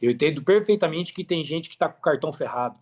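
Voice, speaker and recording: A man's voice: a little high, animated, fast, a little mellow, dull audio. A Brazilian man talking casually and earnestly on a podcast, repeating phrases for emphasis in a motivational way. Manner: earnest, repetitive for emphasis, conversational.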